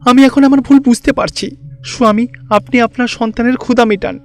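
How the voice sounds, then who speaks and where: A man's voice: very high voice, steady tone, brisk, voice pushed up. A man voicing a frightened, humbled rich god-king in a cartoon fairy tale, pleading and flustered. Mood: remorseful pleading.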